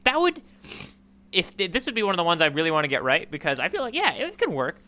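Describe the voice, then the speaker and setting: A man's voice: high voice, huge pitch swings, fast, bright, forceful tone. A man co-hosting a podcast, chatting casually with playful, joking speculation. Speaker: podcast co-host. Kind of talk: casual chat.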